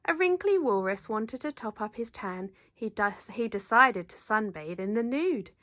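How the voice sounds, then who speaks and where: A woman reading a children's picture book aloud in an animated, dramatic storyteller's manner. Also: fairly high pitch, normal speed, no echo, clean studio sound. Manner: animated, dramatic, leans into sound words. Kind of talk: expressive read-aloud narration.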